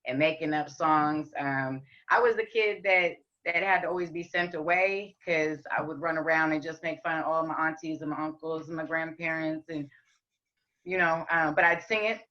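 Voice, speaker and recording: Low raspy woman talking loose on a podcast, lots of ums, kinda dull lo-fi sound. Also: fairly even intonation, moderate pace. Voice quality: deep raspy voice. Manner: candid, with frequent ums and restarts. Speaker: podcast guest, rapper and singer. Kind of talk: casual interview chat.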